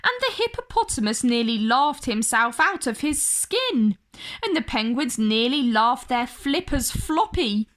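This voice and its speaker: A woman tells a children's story in a fairly high voice. Her pitch rises and falls a great deal. She takes it slowly, stressing words dramatically for young listeners. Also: big pitch swings, a bit bright. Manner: addresses young listeners directly, dramatic emphasis and drawn-out words. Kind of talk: expressive picture-book read-aloud for kids.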